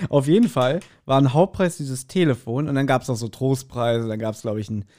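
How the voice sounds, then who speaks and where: A man's voice: medium pitch, moderate pace, dark tone. A man, chatting casually and telling nostalgic anecdotes in a blunt, joking way as a podcast host.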